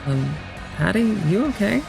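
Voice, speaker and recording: A woman's voice: medium pitch, sing-song, normal speed, vocal fry, music in the background, poor audio. An actress playing a reserved, awkward young female student in an audio drama, speaking hesitantly. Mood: wary. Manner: hesitant, shy, understated.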